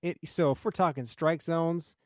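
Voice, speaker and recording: A man's voice: a little high, normal speed, no echo, clean studio sound. A man co-hosting a casual sports podcast, joking and playfully exaggerating, often restarting his sentences. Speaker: podcast co-host.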